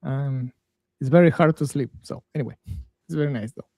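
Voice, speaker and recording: A man co-hosting a podcast, chatting casually in slow, relaxed remarks. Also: medium pitch, dry recording, studio quality. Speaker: podcast co-host. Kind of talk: casual chat.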